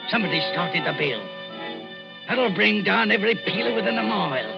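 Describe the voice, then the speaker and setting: An actor playing a bold, brutish Cockney grave robber in an old-time radio drama. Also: male voice, high voice, animated, fairly quick, creaky. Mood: reckless, urgent. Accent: Cockney English. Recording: muffled vintage radio recording with music.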